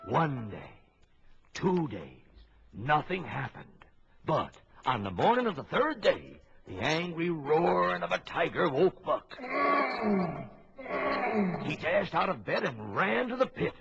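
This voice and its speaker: An actor narrating an old children's jungle adventure record as a dramatic storyteller. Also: male voice, a little high, very animated, slow, vocal fry, pauses often, a bit bright, exaggerated voice. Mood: suspenseful. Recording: dull old record with music.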